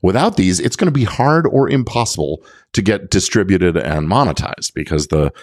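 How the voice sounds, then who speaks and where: Low-voiced man explaining, dry close sound. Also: lively intonation, moderate pace, dry recording. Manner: methodical, conversational explaining. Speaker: podcast host giving legal guidance. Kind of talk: explanatory podcast monologue.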